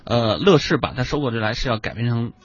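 A man with a husky voice speaking as a radio panel guest, laying out his points one by one with emphasis. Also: mid-range voice, expressive, fast talker, no echo. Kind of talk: conversational radio commentary. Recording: dull, muffled audio.

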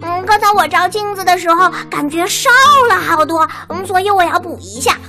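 Give me a woman playing a talkative young male dog with a very high, bright voice. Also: brisk, faint music behind, heavily acted. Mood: chatty. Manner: chatty, fond of snacks, dreamy. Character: cheerful chatty young male water dog.

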